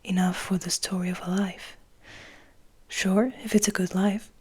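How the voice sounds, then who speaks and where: A woman reading an eager young male interviewer from a gothic novel in a hushed, earnest whisper. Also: mid-range voice, little pitch movement, a little slow, husky. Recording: noticeable background noise.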